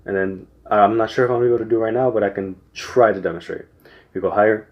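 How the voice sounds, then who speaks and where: A young man explains singing technique in a loose, rambling way, restarting his sentences often. His speaking voice sits on the low side. The recording sounds dull and lo-fi. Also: steady tone, rapid-fire. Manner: rambling, many restarts and fillers. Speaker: singing tips vlogger. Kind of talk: casual spoken tutorial.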